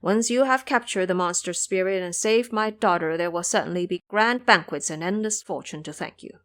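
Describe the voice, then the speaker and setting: A woman's voice: fairly low pitch, moderate pace, a bit bright, lightly acted. A woman voicing a timid, courteous king with formal, stately speech in an audio drama. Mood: gracious, hopeful.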